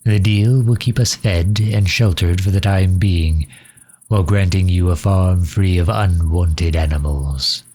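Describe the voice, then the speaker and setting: A man playing a courteous, earnest vampire leader in an audio roleplay, speaking softly with formal, old-fashioned politeness. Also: deep voice, unhurried. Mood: persuasive. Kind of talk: scripted ASMR roleplay, addressing the listener.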